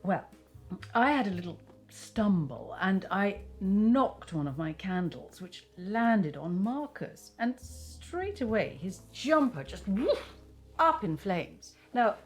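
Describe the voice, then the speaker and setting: An actress playing a haughty, gossipy middle-aged British aunt with a theatrical, scornful manner in an interactive film. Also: female voice, medium pitch, sing-song, slow, soft background music. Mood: gloating. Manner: theatrical, catty, gleefully scornful.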